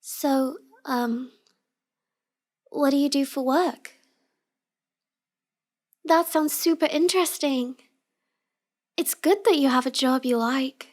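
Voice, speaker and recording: Woman acting as a shy sweet girl villainess, really high voice, slow n halting, intimate roleplay audio. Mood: sweet, guarded. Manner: halting, apologetic, soft-spoken and sweet. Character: shy, tearful young woman villainess feigning helplessness.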